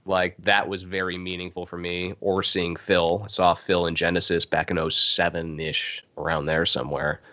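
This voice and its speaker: A man's voice: deep voice, little pitch movement, normal speed, few pauses, pushed and bright. A man with a Chicago accent, a drummer guest chatting casually in an interview, rambling with frequent chuckles. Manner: rambling, laid-back, chuckles often. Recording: muffled lo-fi video call audio.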